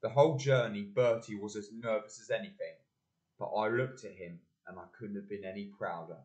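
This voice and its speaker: A man's voice: fairly low pitch, a little fast, a little mellow, lightly acted, poor audio. An older man playing a proud, encouraging father in a community audio play. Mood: proud, tender. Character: proud, encouraging working-class father, earnest storyteller.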